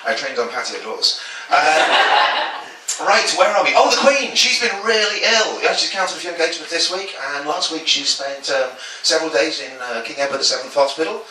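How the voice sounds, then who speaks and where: Stand-up comic, echoey club. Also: male voice, fairly high pitch, animated, normal speed, sharp tone. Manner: self-deprecating, stumbling asides, sung jingle stings. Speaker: stand-up comedian. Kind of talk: stand-up set of topical jokes. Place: small comedy club. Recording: echoey club from a distance with chatter.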